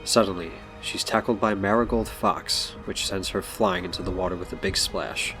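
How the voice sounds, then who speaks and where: A man's voice: sits low, moderate pace. A man reading scripted story narration for an audio drama. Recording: music behind.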